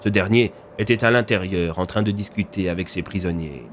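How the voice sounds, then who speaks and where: Low-voiced male narrator over music. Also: brisk. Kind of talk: scripted dramatic story narration.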